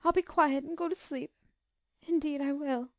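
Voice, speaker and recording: A woman reading an audiobook as a gentle, timid young girl who is tearful and suffering, speaking in a halting, pleading way. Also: high-pitched, steady tone, no room sound, studio quality, voice pushed up, natural voice. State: tearful, sobbing. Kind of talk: audiobook character dialogue.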